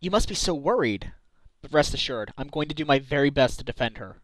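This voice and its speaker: A man's voice: a little high, animated, a little fast, no echo, lightly acted, rough recording. A man voicing an earnest, determined defense lawyer in a fan-dubbed video game. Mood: reassuring. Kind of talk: fan dub of a visual-novel video game.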